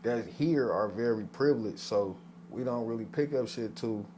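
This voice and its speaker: A man's voice: medium pitch, moderate pace, mellow, slight background noise, low-quality recording. Laid-back male podcast host. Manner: laid-back, repeats "man" and "come on". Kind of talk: casual interview hosting.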